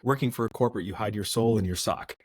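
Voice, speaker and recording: A man's voice: lowish voice, quick pace, no echo. A middle-aged man with an American accent, telling stories from his career as a guest in a casual interview, often restarting his sentences. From United States. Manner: relaxed storyteller, frequent restarts. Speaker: podcast guest.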